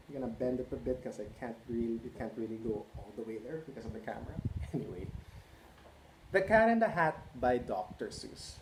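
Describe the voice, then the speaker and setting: A man in his twenties reading a storybook aloud to children, expressive, with chatty questions to his listeners. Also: mid-range voice, a little hiss or hum, poor audio. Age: 20s. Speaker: children's read-aloud host and early childhood educator.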